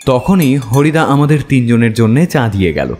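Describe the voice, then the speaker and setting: A male actor as a detective's companion telling a mystery story. His delivery is wry and very expressive, swinging widely in pitch, with a long reverb around the voice. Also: moderate pace, natural voice. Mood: wry, reflective. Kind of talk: dramatic first-person story narration.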